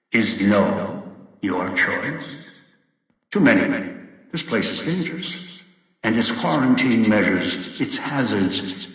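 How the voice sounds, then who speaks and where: Echoing, cold old mastermind. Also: male voice, fairly low pitch, a bit bright. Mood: stern, menacing. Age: older. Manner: deliberate, menacing, condescending. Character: cold, obsessive, manipulative old male mastermind. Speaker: villain giving orders over a radio. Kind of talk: video game voice acting, monologue. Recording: very echoey, long reverb, distant.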